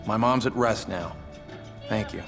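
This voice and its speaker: An actor playing a subdued, weary, plain-spoken young fighter in a video game. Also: male voice, fairly low pitch, a little slow, natural voice. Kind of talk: video game cutscene acting. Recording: music behind the voice.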